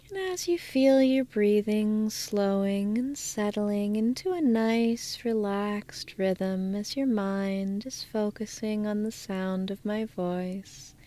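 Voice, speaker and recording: A woman speaking as a hypnotist, guiding listeners through a slow, soothing trance induction that drifts into soft whispers at times. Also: mid-range voice.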